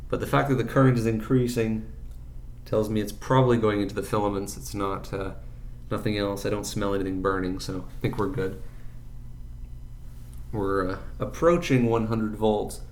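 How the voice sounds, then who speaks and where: A man giving an unscripted hands-on repair demonstration, thinking aloud in a halting way as he explains each step. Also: on the low side, fairly even intonation, a little mellow. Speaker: electronics repair hobbyist presenter.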